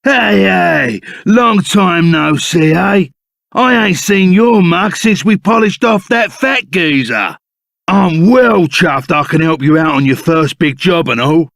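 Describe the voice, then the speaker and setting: An actor playing a rough, loyal, excitable henchman in a video game, with a gravelly voice, a Cockney accent and blunt, chummy, slangy talk. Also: sits high, takes it slowly, no room sound, clean studio sound, heavily acted. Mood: chummy, casual. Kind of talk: video game character voice acting.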